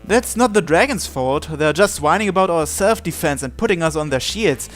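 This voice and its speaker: A man's voice: on the high side, lively intonation, normal speed, faint music behind. A man hosting a let's-play, reading a visual novel's narration and every character's lines aloud in his own voice.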